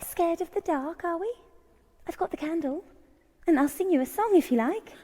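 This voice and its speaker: An actress playing a bold, cunning young kitchen maid in a horror audio drama, brisk, commanding and needling. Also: female voice, very high-pitched, lively intonation, mellow. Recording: long echoing hall reverb.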